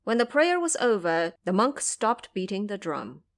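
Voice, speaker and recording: A woman narrating a scripted audio drama as a storyteller, with careful, expressive phrasing. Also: mid-range voice, normal speed.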